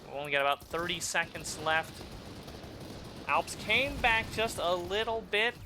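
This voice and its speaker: A man whose native language is German, giving excitable live play-by-play commentary on a video game match. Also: high-pitched, measured pace, noisy background. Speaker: esports commentator.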